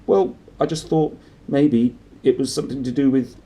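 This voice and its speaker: A man's voice: medium pitch, fairly even intonation, moderate pace, dark tone, pitched above the performer's own voice, natural voice. A man voicing a nervous middle-aged suburban husband from a storybook, mumbling and hesitantly trying to sound casual. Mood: nervous, tentative.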